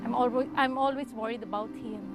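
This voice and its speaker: A woman from the Philippines, speaking emotionally and haltingly in a personal interview. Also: on the high side, steady tone, loud music behind the voice. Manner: emotional, halting, repeats words. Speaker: interviewee, mother.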